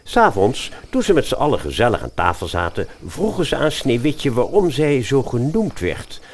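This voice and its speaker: A man narrating a comic fairy-tale audio drama as an expressive storyteller. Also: medium pitch, moderate pace, a little hiss or hum.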